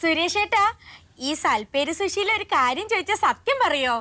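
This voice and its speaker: A woman's voice: extremely high pitch, lively intonation, normal speed, bright, forceful tone, no room sound, heavily acted. A woman playing a bossy female scandalmonger in a TV comedy sketch, with a scolding, theatrical manner. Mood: gossipy. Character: bossy female scandalmonger, mistress of a gossip duo. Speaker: host. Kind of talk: scripted comedy gossip sketch. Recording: music playing behind the voice.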